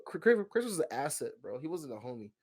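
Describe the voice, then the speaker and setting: A man's voice: fairly high pitch, very animated, fairly quick, a little mellow, husky. A man chatting casually as a podcast co-host, opinionated and slangy, often repeating himself as he argues. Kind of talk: casual chat, film discussion.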